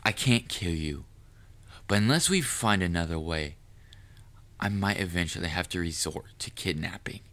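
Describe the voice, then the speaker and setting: Guy voicing a deep forceful assassin who's smitten, proud and kinda menacing, talks all formal and deliberate, audio rp. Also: animated. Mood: quietly threatening. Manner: formal wording, deliberate, measured. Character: lovestruck male assassin, cunning, proud, quietly menacing yet smitten. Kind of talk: scripted audio roleplay monologue addressed to the listener.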